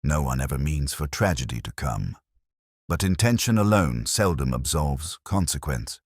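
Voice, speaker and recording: A man voicing a calm, grave police inspector in a detective audiobook, speaking gently and formally with quiet firmness. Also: rumbling low, normal speed, husky, no room sound, studio quality, exaggerated voice. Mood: grave finality. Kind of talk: dramatised detective story dialogue.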